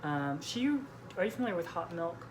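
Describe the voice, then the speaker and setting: A woman's voice: lowish voice, animated, fairly quick, a little hiss or hum. A woman hosting a book vlog in a casual, informal, somewhat hesitant conversational way.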